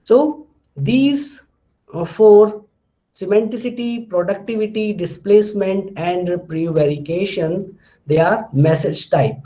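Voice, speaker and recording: Indian English man, linguistics lecturer, high mellow voice, slightly dull lo-fi recording. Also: slow. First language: Hindi. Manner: methodical, recaps terms, tags points with "fine". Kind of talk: classroom lecture.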